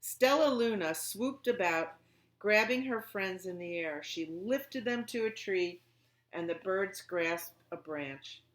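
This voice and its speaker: A woman's voice: medium pitch, animated, slow. A woman hosting storytime for young children, reading picture books aloud and explaining things simply and expressively. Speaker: children's library storytime host.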